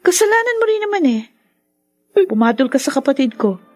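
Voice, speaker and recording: A haughty rich daughter in a radio serial. Her voice is fairly high and swings widely in pitch. She talks down sharply, with faint music behind her. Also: big pitch swings, a little fast. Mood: spiteful, cold. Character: spiteful haughty rich daughter, young adult. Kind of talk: Tagalog radio drama acting.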